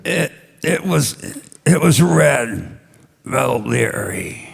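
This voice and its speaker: A man playing a weak gangster informant in a radio play, speaking in halting phrases. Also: medium pitch, very animated, vocal fry, breathy edge, exaggerated voice. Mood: pained, faltering. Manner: halting, faltering. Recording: echoey stage hall.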